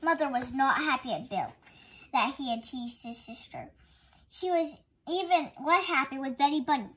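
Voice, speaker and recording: A woman reading a children's picture book aloud as a storytime host, in an expressive storytelling style. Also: sits high, normal speed.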